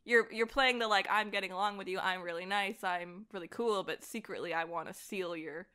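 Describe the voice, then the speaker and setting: A woman's voice: mid-range voice, fairly even intonation, fairly quick, sharp tone, clean tone. A woman chatting casually and enthusiastically as a guest on a podcast. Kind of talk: casual chat.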